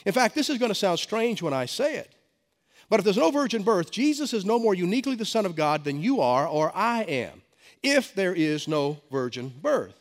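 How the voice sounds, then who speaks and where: A man's voice: sits high, very animated, moderate pace. Stuffed-up preacher man in a reverberant hall. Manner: emphatic preaching with frequent stammered restarts. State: stuffy head cold. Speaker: pastor. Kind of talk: sermon. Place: church sanctuary.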